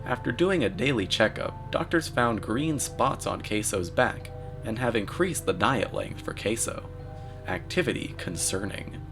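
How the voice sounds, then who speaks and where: A man delivering scripted commentary in a deadpan, mock-serious manner. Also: fairly low pitch, measured pace, creaky. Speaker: narrator, video commentator. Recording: voice-over with music underneath. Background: music.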